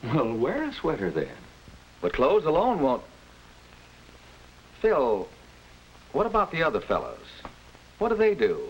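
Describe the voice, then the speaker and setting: A man playing a middle-aged American father in a 1940s educational film, giving measured, reassuring advice. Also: fairly high pitch, huge pitch swings. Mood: advising. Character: calm, reasonable middle-aged father advising his son. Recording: muffled, noisy 1940s film soundtrack.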